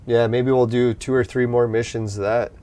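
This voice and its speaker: A man's voice: mid-range voice, little pitch movement, normal speed, dark tone. Laid-back man with a fading voice. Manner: laid-back, rambling, laughs often. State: losing his voice. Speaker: livestream host. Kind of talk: casual chat with live viewers.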